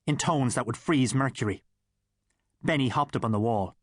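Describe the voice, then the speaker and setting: A man's voice: mid-range voice, normal speed, dry recording, clean studio sound. A man with an Irish accent reading a comic story as an audiobook narrator.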